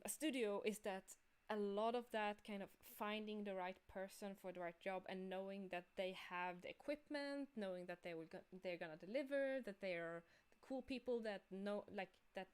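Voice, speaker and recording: A woman's voice: mid-range voice, moderate pace, clear, resonant tone. Woman chatting casually on a livestream. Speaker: livestream co-host and game creator. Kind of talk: casual livestream talk about a project.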